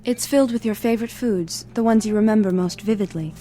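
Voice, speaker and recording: Young alien woman for radio play, female actor: gentle, troubled, tender delivery, mid-range voice. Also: mid-range voice, fairly quick, noisy background, lightly acted. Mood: wistful. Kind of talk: radio drama acting. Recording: music under the voice.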